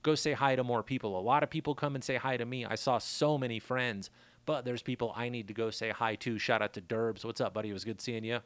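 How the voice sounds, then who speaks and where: A man hosting his podcast in a loose, rambling monologue, his voice raspy from a worn throat. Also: medium pitch, fairly even intonation, fast talker, dry recording. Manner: laid-back, loose, chatty with shout-outs. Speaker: podcast host. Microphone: studio mic.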